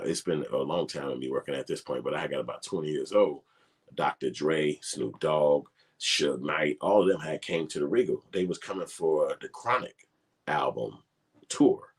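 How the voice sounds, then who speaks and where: A man's voice: very deep voice, slightly rough. A man, telling profane, laid-back stories in street slang. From Chicago. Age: 40s. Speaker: filmmaker vlogger. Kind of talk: casual storytelling.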